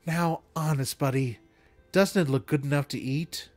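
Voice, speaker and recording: A man voicing an affectionate, excitable elderly woman from a storybook, folksy and earnest in manner. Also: on the high side, moderate pace, faint music behind, lightly acted. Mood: delighted.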